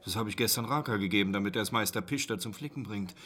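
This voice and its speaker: An actor playing a brusque, grumbling and brazenly unbothered village innkeeper in a German radio drama, chatty and glib. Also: male voice, lowish voice, fast, fairly bright tone.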